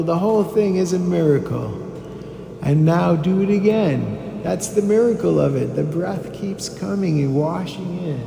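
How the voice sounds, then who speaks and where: A man guides a meditation in a mellow voice full of heavy creak. He speaks calmly and very slowly, leaving pauses between instructions. The recording rings with the long reverb of an echoey hall, with some background noise. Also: on the high side. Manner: calm, deliberate instruction with pauses.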